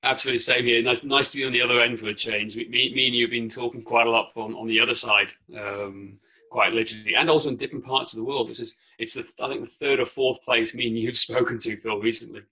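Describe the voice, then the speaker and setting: Bright, gravelly Welsh man. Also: mid-range voice, fairly even intonation, quick pace, low-quality recording. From Wales. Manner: chatty, restarts words often. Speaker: podcast guest, company founder. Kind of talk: casual interview chat. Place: spare room at parents' home.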